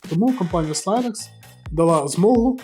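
A man's voice: high voice, animated, normal speed, darkish tone. A man presenting a product review in a casual, explanatory walkthrough style, with music playing under his voice. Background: background music.

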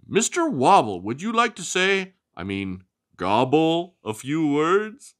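A man's voice: a little high, sing-song, takes it slowly, fairly bright tone, pitched above the performer's own voice, exaggerated voice. A man voicing a kindly, welcoming farmer who speaks in a hearty, friendly way in a children's story.

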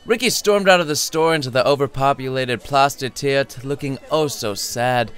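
A man's voice: medium pitch, moderate pace, a bit bright, smooth, dry recording. A man reading his own comic story in an irreverent, sardonic, chatty and theatrical way, addressing the listener directly. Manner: irreverent, sardonic, foul-mouthed. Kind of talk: comedic storytelling narration.

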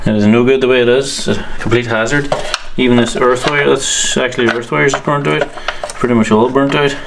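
A man narrating hands-on repair work in an unscripted, matter-of-fact way, pausing between steps as a mechanic does. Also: mid-range voice, fairly even intonation. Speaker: bike mechanic and channel host. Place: indoor workshop. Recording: clear workshop noise, clanking tools.

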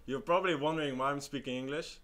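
A man's voice: on the high side, quick pace, a bit bright, slight background noise. A man in his twenties co-hosting with casual banter and short questions at a studio table. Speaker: co-host. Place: small indoor studio at a table. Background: music.

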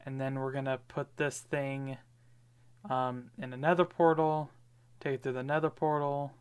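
A man's voice: medium pitch, little pitch movement, moderate pace, clear, resonant tone. A man giving casual, rambling commentary over his gameplay, with lots of fillers. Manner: rambling, many fillers, upbeat. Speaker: gaming video creator.

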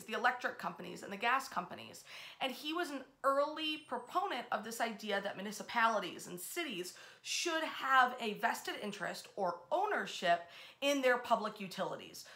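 A woman in her thirties hosting informal history storytelling to camera, with emphatic, expressive delivery. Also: a little high. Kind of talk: informal storytelling to camera, part read aloud. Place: small bedroom, indoors.

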